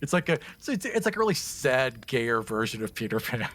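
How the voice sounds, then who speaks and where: A man's voice: mid-range voice, sing-song, brisk, fairly bright tone. A man playing a tabletop game, chatting casually in a jokey, self-deprecating and profane way.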